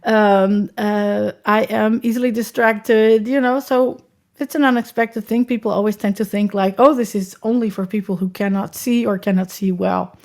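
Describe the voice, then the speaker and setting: A woman's voice: mid-range voice, fairly even intonation, normal speed, a little mellow. A woman with a Dutch accent, speaking as a guest in a podcast interview, chatty and rambling with frequent ums. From Netherlands. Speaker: guest, accessibility consultant.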